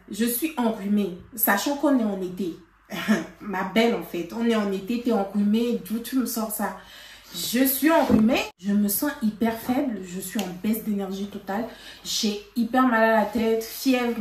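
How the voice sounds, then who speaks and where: A woman vlogger telling a story in casual chat, animated and laughing, with lots of fillers. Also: mid-range voice, a little slow, slight background noise. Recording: roomy, from a distance.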